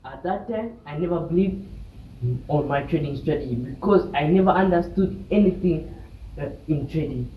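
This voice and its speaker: A young man from South Sudan with a South Sudanese accent tells a personal story as a vlogger, haltingly and with repeated phrases. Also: fairly high pitch, dark tone. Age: young adult. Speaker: vlogger telling his trading journey. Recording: distant mic, roomy echo, background hiss.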